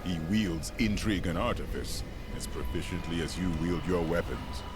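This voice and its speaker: Guy as a noble mortal angel in a fantasy game, really deep n solemn, formal. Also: normal speed, lightly acted. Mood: grave. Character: noble fallen angel turned mortal man, grave. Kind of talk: fantasy video game voice acting. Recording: loud music underneath. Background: music.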